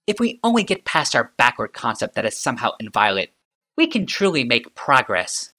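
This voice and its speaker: A sardonic, morbid female inventor, as a male reader puts her on, speaking in a calm, almost conversational way. Her pitch climbs and swoops with wide, restless movement. The tone stays bright and clear throughout the audiobook reading. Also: moderate pace, full character voice. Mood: musing.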